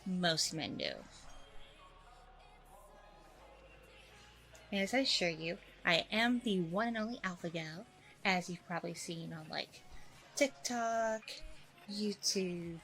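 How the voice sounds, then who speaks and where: A woman's voice: lowish voice, slow pace, pushed and bright, faint music behind, exaggerated voice, rough recording. A woman playing a smug, self-promoting training center owner in a chatty ASMR roleplay, with breezy, self-satisfied customer-service politeness. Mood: smug, boastful. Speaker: roleplay audio performer.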